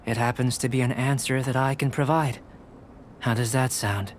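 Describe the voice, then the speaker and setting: An actor playing a calm, curious young male alchemist who talks in a measured, formal, clinical way, in a game. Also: mid-range voice, slight background noise, lightly acted. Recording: game audio with clear music behind.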